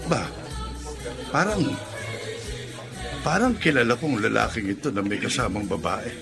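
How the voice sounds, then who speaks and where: A man playing a friendly, excitable elderly grandfather in a Filipino radio drama, with a creaky voice and exclaiming, hesitant interjections. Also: medium pitch, unhurried. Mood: musing, surprised. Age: older. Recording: music under the voice.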